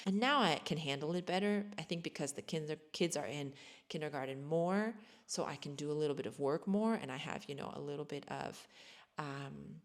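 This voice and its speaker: An American woman in her thirties chatting casually to camera from her sparsely furnished home, rambling and self-deprecating. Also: low-pitched. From United States. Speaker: vlogger.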